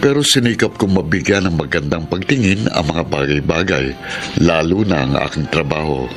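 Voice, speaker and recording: A man's voice: low voice, expressive, moderate pace, music in the background. An actor playing a hardworking middle-aged father in a Filipino radio drama, speaking earnestly with a fatherly manner and a Filipino accent. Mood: earnest, reflective. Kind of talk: Filipino radio drama acting and first-person narration.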